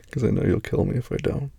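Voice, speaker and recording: A man speaking softly and reassuringly to a partner, often dropping to a tender whisper in an intimate one-sided roleplay. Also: medium pitch, gravelly, slightly rough, no room sound. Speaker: boyfriend speaking to an unseen listener.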